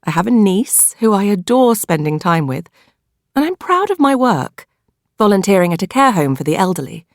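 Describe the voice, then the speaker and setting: A woman with a British accent narrates a novel in the first person, in a measured, expressive storytelling manner. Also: mid-range voice, dry recording, studio quality. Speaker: audiobook narrator.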